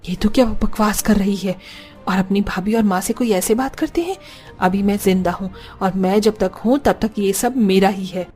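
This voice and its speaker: A woman's voice: mid-range voice, moderate pace, dark tone, soft background music, close to an everyday voice. A woman voicing a caring, long-suffering older mother-in-law in a cartoon story, earnest and lamenting. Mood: indignant.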